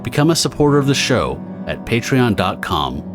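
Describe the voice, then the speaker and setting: A man's voice: medium pitch, big pitch swings, normal speed, over music. A man giving scripted, dramatic narration as the narrator of an audio drama.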